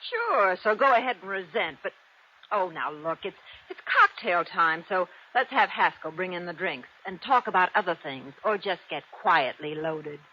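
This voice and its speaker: An actress playing a haughty, sharp-tongued young heiress in an old-time radio drama. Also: female voice, on the low side, animated, normal speed, husky, exaggerated voice. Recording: muffled vintage radio recording.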